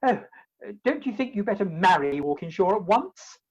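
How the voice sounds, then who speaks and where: A woman playing a bumbling, lovesick Victorian gentleman in a comic play, with an English accent and blustering, theatrical delivery, recorded at home over a video call. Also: mid-range voice, animated, normal speed, slightly rough, muffled recording. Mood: flustered. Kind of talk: comic stage-play acting.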